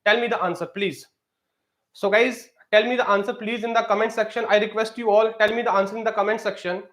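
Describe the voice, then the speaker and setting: A man's voice: sits high, little pitch movement, a little fast, fairly bright tone. A man runs a live-streamed exam prep lesson. He leans on fillers like "you know" and keeps asking viewers to post answers in the comments. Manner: repeats pleas for comments, many fillers.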